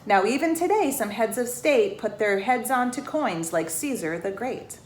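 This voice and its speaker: A woman reading a rhyming children's storybook aloud in an expressive way, pausing to put questions to the young listeners. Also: a little high. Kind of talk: children's storybook read-aloud, rhymed verse with asides to listeners. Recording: roomy echo, faint background noise.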